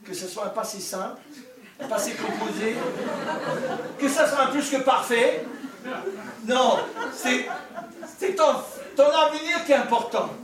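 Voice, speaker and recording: Older male preacher, humorous asides, very high voice, distant roomy hall sound. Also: a little slow, long pauses, a crowd in the background. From Scotland. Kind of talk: sermon. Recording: echoey hall, distant mic.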